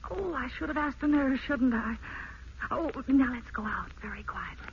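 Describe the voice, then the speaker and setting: A woman's voice: high-pitched, expressive, normal speed. An actress with an American accent playing an anxious young wife and flustered new mother in an old-time radio drama, speaking in a fluttery, pleading way. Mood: unsure, hushed. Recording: muffled, noisy old radio broadcast.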